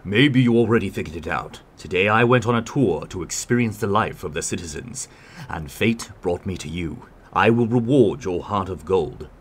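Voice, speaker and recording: A man playing a kind, regal king in an animated fairy tale, speaking in a formal, declarative way. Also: low-pitched, moderate pace, slightly rough. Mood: benevolent. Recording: music under the voice.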